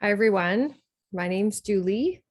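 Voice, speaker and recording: A calm woman from British Columbia introduces herself in an unhurried way. She speaks very slowly at a mid pitch. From British Columbia, Canada. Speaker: participant.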